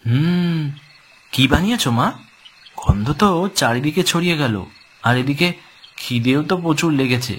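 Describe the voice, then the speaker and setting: Man as higher-pitched protective elder brother. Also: lively intonation, moderate pace, pauses often, fairly bright tone, a little hiss or hum. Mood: obliging. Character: protective young older brother, obliging then anxious. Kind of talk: Bengali horror cartoon dub.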